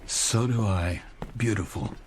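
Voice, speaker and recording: An actor playing a weary, wistful commuter in a radio drama, speaking gently and politely. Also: male voice, lowish voice, lively intonation, a little slow, noisy background. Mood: dreamy, content. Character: weary, wistful commuter man longing to escape. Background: music.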